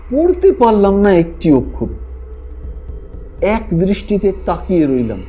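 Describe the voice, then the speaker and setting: A male narrator tells a ghost story in a husky, unhurried voice. His pitch sits high and he builds suspense theatrically. Soft music plays behind him. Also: muffled recording. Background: background music.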